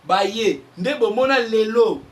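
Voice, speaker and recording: A Congolese man, a journalist and political analyst, giving impassioned commentary and getting louder as he presses his points. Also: high-pitched, animated, a little slow, recorded from a distance. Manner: heated, mixes French and Lingala, bursts louder on points.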